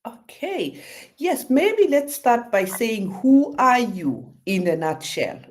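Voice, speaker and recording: A woman's voice: fairly high pitch, sing-song, slow pace. A woman hosting a radio interview show, talking effusively with many fillers.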